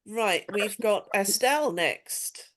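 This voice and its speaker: A woman's voice: medium pitch, animated, slow, a bit bright. A woman hosting a casual group video call, calling on participants to speak. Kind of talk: casual moderating.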